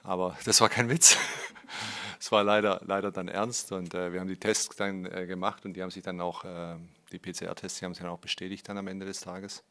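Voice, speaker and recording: A man's voice: deep voice, level tone, a little fast, sharp tone. A man answering press questions as a club's managing director, rambling with restarts, fillers and frequent "ja".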